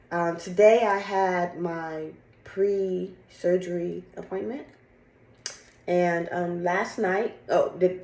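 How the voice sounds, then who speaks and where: A middle-aged woman with a slightly raspy, congested voice, chatting candidly and casually in a rambling personal vlog. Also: fairly low pitch, darkish tone, reverberant. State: sick with congested cough. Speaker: fitness vlogger. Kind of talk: casual chat to camera, personal update.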